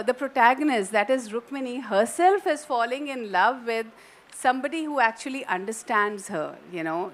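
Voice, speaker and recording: North Indian woman author, high voice. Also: a bit bright. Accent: North Indian English. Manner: thoughtful, digressive, many restarts. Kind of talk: literary festival panel talk. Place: festival stage.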